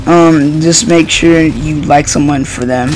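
A man's voice: a little high, steady tone, a little slow, low-quality recording. A young man telling a casual, profane story in a rambling, slangy way while playing a game. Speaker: gaming video host. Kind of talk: casual profane story time over gameplay. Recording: loud music and gunfire behind. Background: music and shooter game gunfire.

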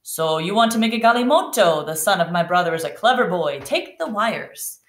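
A woman's voice: fairly low pitch, animated, moderate pace, echoey room, close to an everyday voice. A woman voicing a friendly, amused shopkeeper uncle in a children's storybook read aloud. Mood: warm greeting.

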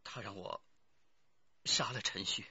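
Cornered crime suspect, low, tense voice. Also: male voice, huge pitch swings, measured pace, vocal fry, pushed and bright, husky, no room sound, highs cut off, exaggerated voice. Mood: confessing. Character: defiant, cornered male murder plotter under interrogation. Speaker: radio drama actor. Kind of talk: radio drama acting.

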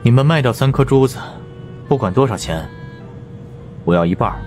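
A man voicing an aloof, powerful boss of supernatural investigators in an audiobook, condescending, unhurried and matter-of-fact. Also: medium pitch, lively intonation, darkish tone, pitched above the performer's own voice, lightly acted. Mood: cool, commanding. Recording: music under the voice.

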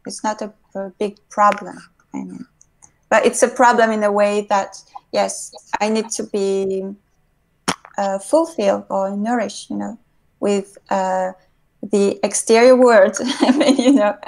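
A woman's voice: medium pitch, fairly even intonation, slow, vocal fry, poor audio. A woman in her thirties, a musician on a video call panel, talking hesitantly and searching for words. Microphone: earphones with mic on a video call.

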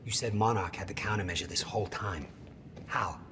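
An actor playing an intense, insistent scientist in a cinematic video game, explaining urgently and often dropping to a hush. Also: male voice, lowish voice, a little fast, background noise, natural voice. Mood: thoughtful. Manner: urgent, explanatory, breaking into hushed whispers. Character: intense, insistent male scientist, older brother of the hero.

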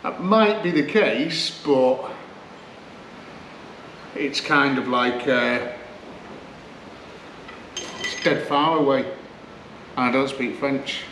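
Bloke talking to himself fixing an old car, middle-pitched voice, roomy garage echo, bit of hiss. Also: male voice, extremely slow, long pauses. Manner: chatty, relaxed, talks to himself while working. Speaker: classic car restoration vlogger. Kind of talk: casual workshop vlog. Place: garage or barn workshop.

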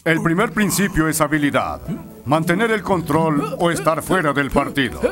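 An actor playing a stern, demanding middle-aged soccer coach who speaks in commanding speeches in a cartoon dub. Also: male voice, on the high side, lively intonation, normal speed, close to an everyday voice. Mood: instructive. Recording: music behind.

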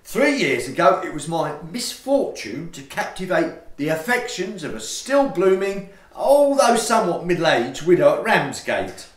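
Man acting a pompous, high-pitched gentleman. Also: very animated, unhurried, few pauses, roomy sound. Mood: pompous, confiding. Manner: pompous, mannered, formal. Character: indignant, theatrical Victorian gentleman lodger, pompous and touchy. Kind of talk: stagey comic farce acting for an audio play.

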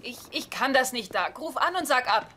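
A woman's voice: high voice, expressive, moderate pace, pushed and bright, exaggerated voice. A woman acting a sarcastic, jittery sitcom wife who is unused to children, with a dry, wisecracking manner. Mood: panicky.

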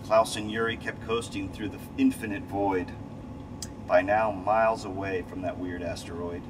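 Middle-aged man reading aloud, loud background hum. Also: low voice, moderate pace. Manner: expressive read-aloud delivery with stumbles and rereads. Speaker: story reader. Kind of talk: reading a rhyming children's storybook aloud. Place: small cramped space station window module. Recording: distant mic, loud steady ventilation hum. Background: loud steady air-conditioning-like hum.

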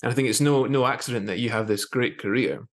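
A man in his thirties hosting a conversational interview over a video call, rephrasing haltingly as he thinks. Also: medium pitch, fairly bright tone. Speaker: podcast host. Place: small plain room indoors. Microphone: video call with earphones.

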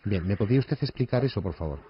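A man's voice: fairly low pitch, expressive, fast, highs cut off, slight background noise, pitched below the performer's own voice, natural voice. A man playing a condescending, confrontational phone company manager in a prank call, using formal address. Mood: stern, measured. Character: curt, scolding male phone company department manager.

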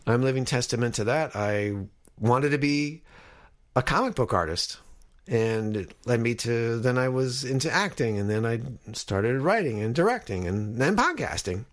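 A man's voice: mid-range voice, expressive, normal speed. Man hosting a casual, encouraging podcast. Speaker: podcast host.

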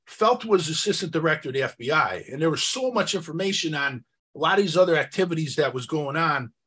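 A man's voice: a little high, animated, fast, bright, forceful tone, husky. A man co-hosting a podcast, talking through history in an insistent, questioning, conversational way. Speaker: podcast co-host.

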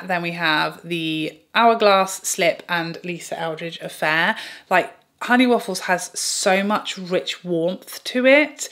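A woman beauty vlogger reviewing makeup to camera in chatty, gushing talk, her voice a bit hoarse and tired from talking so long. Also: mid-range voice. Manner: gushing, animated and playfully self-mocking.

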